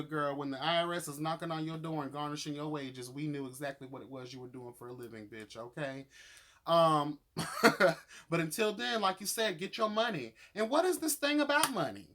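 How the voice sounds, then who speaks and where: A man's voice: a little high, a bit bright. A man giving casual, opinionated episode commentary as a vlogger, sassy and emphatic, with slangy wording and free swearing. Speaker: vlogger reviewing reality show episodes.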